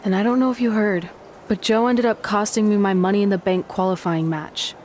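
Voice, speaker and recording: A woman's voice: mid-range voice, steady tone, a little fast, darkish tone, slight background noise, lightly acted. A woman playing a blunt, sarcastic young female wrestler in a game cutscene, exasperated and no-nonsense. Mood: annoyed, dry.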